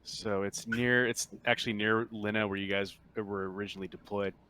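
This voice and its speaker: A man briefing players as game master of a tabletop roleplaying game, explaining informally and haltingly with many pauses. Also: lowish voice, steady tone, bright, forceful tone, smooth.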